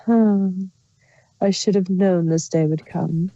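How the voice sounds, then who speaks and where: A woman's voice: on the low side, fairly even intonation, takes it slowly, mellow. A woman voicing a melodramatic, self-pitying bug-like changeling queen in a theatrical, mock-tragic manner for a fan fiction story. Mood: theatrical lament. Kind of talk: acted fan fiction reading. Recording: roomy, slightly dull microphone sound.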